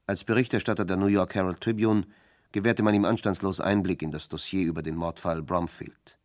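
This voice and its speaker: Deep-voiced male radio crime narrator. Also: steady tone, fairly quick.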